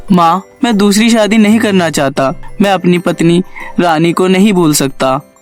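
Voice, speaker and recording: A woman's voice: fairly low pitch, moderate pace, a little mellow, faint music behind, natural voice. A woman voicing an adult man in a cartoon story, a grieving, easily angered husband and father. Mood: reluctant, sad.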